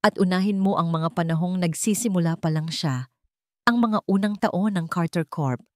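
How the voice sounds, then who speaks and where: Woman acting as vengeful tycoon, calm, dangerous, commanding, deliberate, low voice, audio drama. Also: dry recording, studio quality, natural voice. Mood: cold, commanding. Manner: deliberate, menacing.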